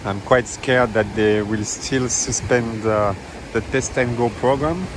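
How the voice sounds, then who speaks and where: A man's voice: medium pitch, slow pace. A man casually commenting as he films a walking tour on a beach, chatting in slightly non-native phrasing with fillers. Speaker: travel vlogger. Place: outdoors on a tropical beach. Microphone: handheld phone camera. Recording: outdoor phone audio with surf noise. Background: waves and wind.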